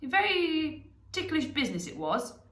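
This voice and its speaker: A woman's voice: high voice, sing-song, moderate pace, a bit bright, roomy sound, voice pushed up, exaggerated voice. A woman voicing a clever, proud old male wizard in a storybook, speaking in an emphatic, commanding, confident way. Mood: self-satisfied.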